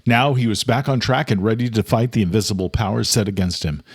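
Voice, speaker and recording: A man reading a scripted audiobook as narrator, telling the story in a dramatic, measured way. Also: lowish voice, husky, dry recording, studio quality.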